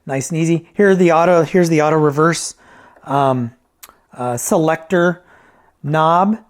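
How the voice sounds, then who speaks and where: A man's voice: fairly high pitch, unhurried, clear, resonant tone. A man talking casually through an unscripted product review, rambling and correcting himself, often checking in with "right?". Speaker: reviewer and repairer of vintage tape decks.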